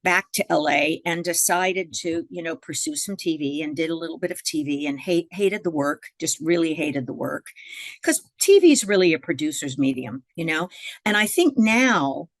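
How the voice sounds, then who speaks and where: A middle-aged woman from Miami, a jazz singer, telling her story in a relaxed interview, chatty with fillers and restarts and frequent laughs. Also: deep voice, normal speed, no room sound. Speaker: guest jazz vocalist.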